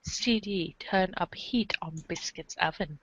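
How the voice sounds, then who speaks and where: A woman's voice: lowish voice, unhurried, breathy edge, no room sound, low-quality recording. A woman reading scripted comic narration aloud for a podcast. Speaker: podcast reader of a webcomic.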